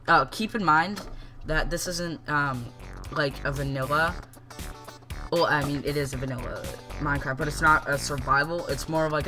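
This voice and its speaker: Congested young man explaining a game build. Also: mid-range voice. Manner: rambling, self-correcting, many ums. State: congested from a cold. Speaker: game tutorial host. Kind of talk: casual screen-recorded game tutorial.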